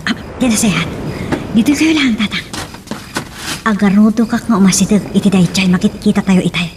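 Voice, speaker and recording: A woman's voice: medium pitch, moderate pace, natural voice. A woman playing an anxious, watchful young village daughter in a radio drama. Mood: alarmed, watchful. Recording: noisy home-studio radio drama.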